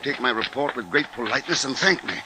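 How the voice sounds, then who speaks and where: An actor playing an anxious writer who narrates with measured, dramatic deliberation in a 1950s radio drama. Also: male voice, medium pitch, lively intonation, lightly acted. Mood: agitated. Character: anxious writer narrating a seaside bird menace. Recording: old 1950s radio recording with music.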